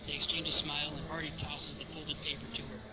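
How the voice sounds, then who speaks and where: A man's voice: fairly high pitch, little pitch movement, fast talker, heavy background noise. A man narrating stage directions in an informal read-aloud of a screenplay.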